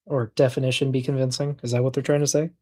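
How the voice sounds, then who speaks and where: A co-host on a stream, speaking at a middling pitch. The talk is easy and casual, with relaxed, wry short replies. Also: fast, slightly rough. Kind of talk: casual chat.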